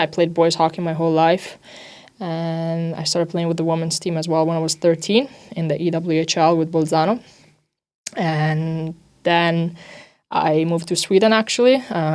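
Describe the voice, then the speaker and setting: A woman's voice: on the low side, darkish tone, clean tone. A young woman from Italy, a native Italian speaker, answering interview questions casually as an athlete, with lots of "you know" and "like". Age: young adult. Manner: informal, peppered with "you know" and "like". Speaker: ice hockey defender.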